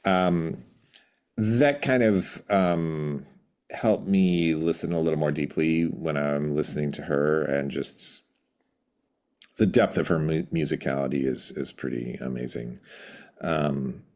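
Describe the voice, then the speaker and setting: A middle-aged man reminiscing casually as an interview guest, reflective and halting, often breaking off mid-word. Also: extremely low pitch, darkish tone. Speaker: podcast guest, musician.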